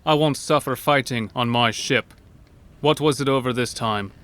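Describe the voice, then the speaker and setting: An actor playing an indignant, stern man of a sailing ship, speaking forcefully in archaic nautical language in an audio drama. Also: mid-range voice, normal speed, lightly acted. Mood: stern, demanding.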